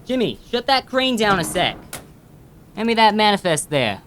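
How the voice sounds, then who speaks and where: Actor as a nervous dockworker, swooping pitch. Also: male voice, very animated, normal speed, a bit bright, slight background noise. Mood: nervous, pleading. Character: nervous, placating ship's dock worker. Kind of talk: audio drama acting.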